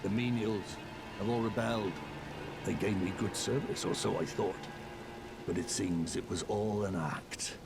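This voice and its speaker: A low, creaky-voiced castle warden in a fantasy video game. He is grave and bound by duty, a father carrying heavy burdens. Music plays clearly behind him. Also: steady tone, measured pace. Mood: weary, bitter. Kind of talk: scripted fantasy game dialogue.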